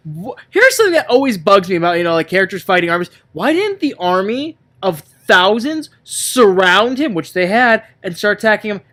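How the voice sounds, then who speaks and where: A man co-hosts a casual film discussion with very lively, swooping intonation. He rants in an exasperated tone and swears freely, keeping it conversational. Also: high-pitched, normal speed, few pauses. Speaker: podcast co-host. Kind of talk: casual movie-review banter.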